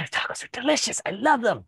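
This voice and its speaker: A man in his thirties hosting a casual online show over a video call, excitable and gushing, quick to laugh. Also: very high voice, vocal fry, slightly rough, dry recording, studio quality. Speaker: host. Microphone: headset, video call.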